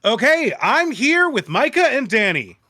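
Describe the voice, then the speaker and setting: High, bright, excited game reporter played by a man. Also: a little slow, no room sound, clean studio sound, full character voice. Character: excited field reporter on a live game broadcast. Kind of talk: dramatic table read for a podcast.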